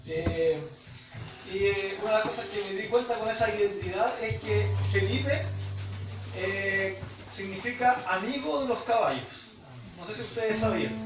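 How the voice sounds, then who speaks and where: A Chilean man preaching informally in Spanish with a Chilean accent, halting, with frequent pauses and tag questions. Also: high voice. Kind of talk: informal sermon with prayer and scripture reading. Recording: echoey room, distant mic, loud music behind.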